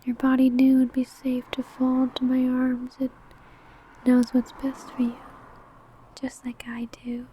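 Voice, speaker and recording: A woman's voice: sits high, monotone, unhurried, dark tone, a little hiss or hum. A woman playing an ancient, possessive, doting female vampire in a whispered, intimate ASMR roleplay, crooning breathily. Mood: protective, possessive.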